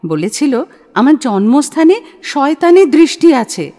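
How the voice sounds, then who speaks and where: A woman's voice: high voice, big pitch swings, a little slow, dark tone, exaggerated voice. A woman playing a shrewd, self-assured young woman in an audio play, speaking in a forthright, persuasive, dramatic way. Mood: confiding, assertive. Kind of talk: audio play acting of a literary short story. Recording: long hall-like reverb.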